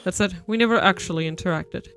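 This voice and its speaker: A woman streamer reading a visual novel's narration aloud, steady and even. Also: lowish voice, fast talker, faint music behind. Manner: steady narration with playful retorts.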